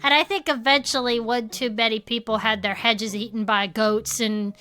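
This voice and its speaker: Casting: hopeful cryptid enthusiast and podcast co-host in a comedy audio drama, highish and bright, chatty delivery. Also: female voice, fairly even intonation, normal speed, smooth. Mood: explanatory. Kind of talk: scripted audio comedy dialogue, chatty banter.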